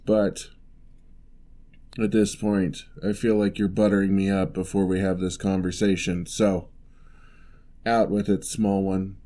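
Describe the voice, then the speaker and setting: A huge, gentle giant played by a man for an ASMR fantasy roleplay. He talks very slowly in a low, mellow voice. His manner is soft and courteous, with old-fashioned phrasing and a wry, teasing streak. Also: level tone. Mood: apologetic, teasing.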